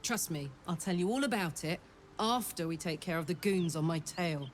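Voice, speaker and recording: A hearty, brash warrior woman from a fantasy game, acted by a woman, speaking in a lowish voice. She is blunt, sweary and exuberant, with noise audible behind her. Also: normal speed, close to an everyday voice. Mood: animated, confident. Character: brash, hearty tiefling woman warrior, escaped infernal soldier. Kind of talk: video game character dialogue.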